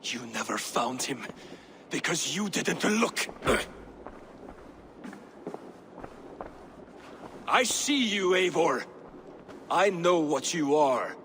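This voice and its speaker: An actor playing an aggrieved, defiant Viking warrior in a video game, speaking in an accusing, declamatory way. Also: male voice, on the high side, lively intonation, slow, a bit bright, full character voice. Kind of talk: dramatic video game acting. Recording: clearly noisy game scene ambience.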